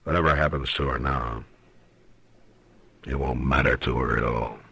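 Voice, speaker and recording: An actor playing a stern, weary frontier marshal in a radio western, terse, blunt and authoritative, on a vintage recording. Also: male voice, rumbling low, fairly even intonation, moderate pace, creaky, pushed and bright, slightly rough, full character voice. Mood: weary, firm. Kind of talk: old radio western acting.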